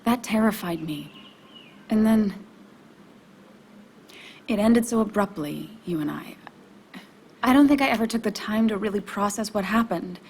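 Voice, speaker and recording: An actress playing an emotionally raw but determined young woman in a video game, speaking in a halting, confessional way. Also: mid-range voice, darkish tone, a little hiss or hum. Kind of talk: naturalistic video game acting.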